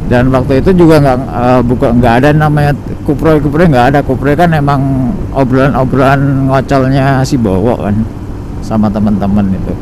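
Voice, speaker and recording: A young man with a Jakarta slang accent chatting to himself on a night motorcycle ride, rambling and relaxed with many fillers, recorded on a helmet camera. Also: mid-range voice, normal speed. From Indonesia. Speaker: motovlogger. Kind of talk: casual solo ride vlog. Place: riding a motorcycle at night on city streets. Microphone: helmet-mounted action camera. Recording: road and traffic noise behind. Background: engine and traffic.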